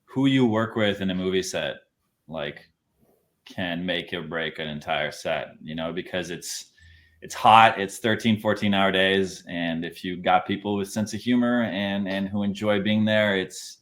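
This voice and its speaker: Easygoing low-voiced male actor. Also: unhurried, fairly bright tone. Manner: easygoing, hesitant, many fillers and restarts. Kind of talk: casual interview chat.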